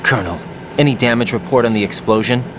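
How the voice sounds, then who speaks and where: An actor playing an earnest but unsure young special operative in a dramatic video game. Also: male voice, medium pitch, fast talker, noisy background, lightly acted. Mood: sober.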